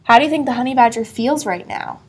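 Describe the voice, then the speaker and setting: A woman reading a children's story aloud expressively, pausing to put questions to a young listener. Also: a little high, breathy edge. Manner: asks a child engaging questions. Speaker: storybook reader.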